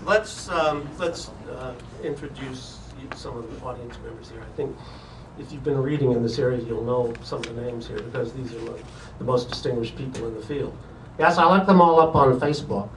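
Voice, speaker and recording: A man's voice: mid-range voice, fairly even intonation, moderate pace, far from the mic. A man presenting as the mild, hesitant straight man in a live comedy dialogue. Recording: roomy, noisy conference hall from afar.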